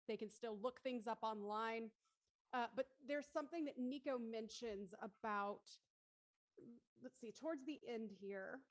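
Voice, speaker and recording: A woman moderating a casual panel discussion, explaining things and putting questions to the group. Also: a little high. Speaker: moderator of a post-show discussion.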